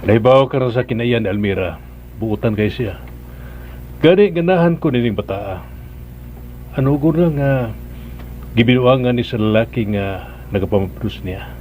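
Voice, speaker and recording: A man's voice: medium pitch, normal speed, pauses often, darkish tone, slight background noise, lightly acted. A man acting a composed, well-meaning bridegroom in a radio drama, with a Cebuano Filipino accent. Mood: firm, calm. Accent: Filipino, Cebuano-speaking. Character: composed, well-meaning bridegroom giving instructions, a respected sir.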